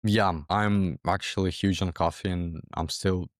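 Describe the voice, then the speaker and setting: Low-voiced young man from Ukraine. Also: fairly even intonation, unhurried, dry recording, clean studio sound. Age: young adult. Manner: hesitant, repeats words, many fillers. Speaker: interview guest, former exam candidate. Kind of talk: casual interview talk.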